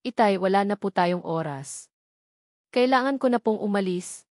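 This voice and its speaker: A woman voicing a young woman who speaks politely to her father and forces her voice to stay steady in an audio drama. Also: medium pitch, normal speed, no room sound, studio quality, close to an everyday voice. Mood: determined. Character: young woman fleeing home, brave and tender.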